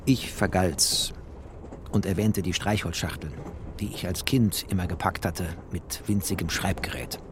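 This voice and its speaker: A man playing a bookish, wry refugee narrator in a literary audio drama, speaking in terse, expressive phrases. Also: low-pitched, a little slow, noisy background. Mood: brooding, observant.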